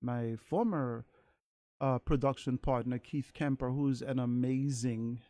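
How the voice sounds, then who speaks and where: A man's voice: mid-range voice, steady tone, unhurried, warm tone. Hesitant, candid male singer guest. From Saint Thomas. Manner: candid, hesitant with many fillers. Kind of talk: casual interview chat. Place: radio studio.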